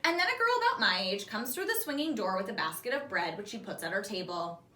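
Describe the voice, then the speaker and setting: Animated woman reading aloud, roomy echo. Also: medium pitch, fast. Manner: animated, acting out dialogue. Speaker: teacher reading a children's novel aloud. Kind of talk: expressive storybook read-aloud. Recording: roomy echo, distant mic.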